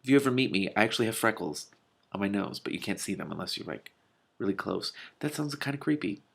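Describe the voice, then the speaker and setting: A man hosting a read-aloud, giving an expressive, emphatic storybook reading with casual asides. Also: on the low side, quick pace, creaky, husky. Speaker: host reading a book aloud with commentary.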